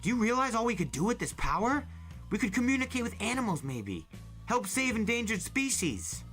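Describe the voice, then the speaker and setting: Man voicing earnest teenage girl, raised pitch. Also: sing-song, a little fast, a bit bright, soft background music, exaggerated voice. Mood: eager.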